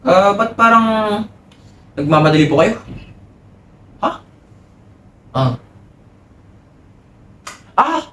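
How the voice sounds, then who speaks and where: A man's voice: high-pitched, big pitch swings, extremely slow, pauses often, fairly bright tone, a little hiss or hum, heavily acted. Man acting a pompous, volatile colonial lieutenant, echoey room. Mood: fawning. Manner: boastful, demanding, theatrical. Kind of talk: theatrical dramatic monologue.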